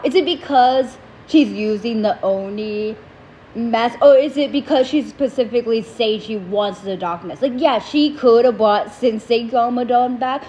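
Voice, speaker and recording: A young woman with a stuffy nose and sore throat, hosting a casual reaction video in an animated, opinionated way. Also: a little high, slight background noise, low-quality recording. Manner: animated, opinionated ranting to viewers.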